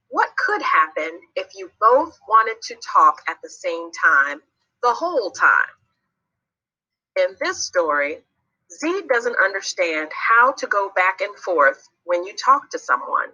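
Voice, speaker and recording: A woman's voice: mid-range voice, sing-song, a little slow, bright, forceful tone, highs cut off. A woman narrating a children's read-along story expressively, putting guiding questions to young listeners.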